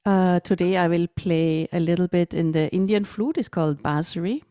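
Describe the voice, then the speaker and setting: A woman's voice: on the low side, moderate pace, mellow, clean tone, dry recording, studio quality. A woman from Austria, speaking English as an on a video call, earnestly sharing her experience with meditation. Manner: earnest, slightly non-native phrasing. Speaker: meditation guide and flute player. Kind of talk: casual personal sharing.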